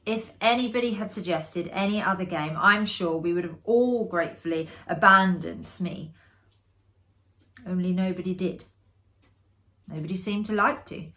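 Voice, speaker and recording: A woman giving a measured, dramatic performed reading of a classic ghost story, with expressive pauses. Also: on the low side.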